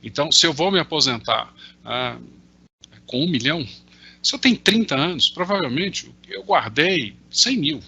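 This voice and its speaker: A man's voice: a little high, animated, normal speed, pushed and bright, breathy edge, muffled recording. A man in his sixties with a Brazilian Portuguese accent, explaining things in an online Q&A with worked examples, full of hesitant fillers. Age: 60s. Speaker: invited market analyst answering questions. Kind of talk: explanatory talk in a virtual Q&A. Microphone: video call.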